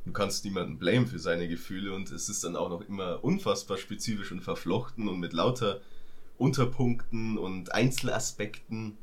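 A young man reflecting thoughtfully in a casual podcast chat. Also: on the low side. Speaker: podcast co-host.

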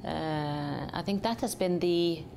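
A woman's voice: deep voice, takes it slowly, creaky, a little mellow, slight background noise. A woman from Norway, a company chief executive, answering interview questions thoughtfully with many hesitations and restarts.

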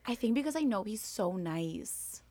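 A young woman co-hosting a casual podcast, talking in a rambling way with lots of fillers and a slightly raspy voice. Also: mid-range voice, lively intonation, normal speed, mellow, dry recording. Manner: rambling, many fillers, laughs often. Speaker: podcast co-host. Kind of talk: casual chat.

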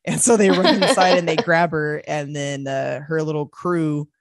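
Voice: mid-range voice, normal speed, no room sound, studio quality. A podcast co-host in casual film chat, rambling and laughing often. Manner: rambling, laughs often, acts out movie quotes.

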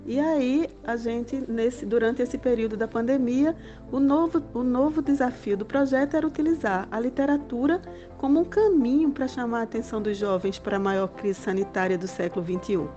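Mellow Brazilian woman explaining earnestly. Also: medium pitch, highs cut off. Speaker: professor, project coordinator. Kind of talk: semi-spontaneous explanation.

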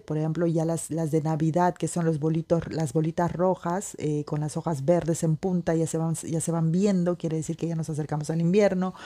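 A woman from the Amazon, her voice low and soft-edged. She muses aloud in a casual vlog, circling back with fillers and restarts as her thoughts drift. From Peruvian Amazon. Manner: meandering, many restarts and fillers. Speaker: vlogger. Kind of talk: casual reflective monologue.

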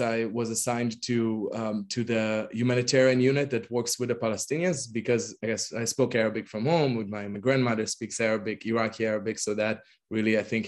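A man in his thirties from Israel with an Israeli accent, speaking as an interview guest, hesitant with many fillers and restarts. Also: fairly low pitch. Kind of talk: conversational interview.

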